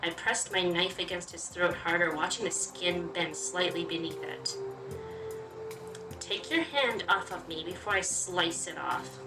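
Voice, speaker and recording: A woman's voice: fairly low pitch, a little slow. A chatty, reactive woman reading a game's story aloud and commenting as she plays. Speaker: game player reading aloud. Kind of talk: let's play commentary with expressive reading of story text. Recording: roomy, distant, music behind. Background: game music.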